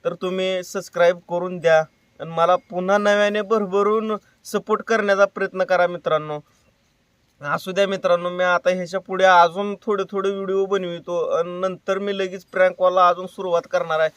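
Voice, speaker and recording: A man's voice: high voice, level tone, brisk, a bit bright, clean tone, no echo, far from the mic. A man making an earnest, plain-spoken personal appeal to his viewers, repeating himself for emphasis. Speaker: YouTube creator and prank caller.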